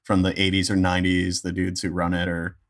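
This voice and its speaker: A man's voice: sits low, monotone, fairly quick. A man chatting casually and jokily as a podcast guest. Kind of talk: casual chat.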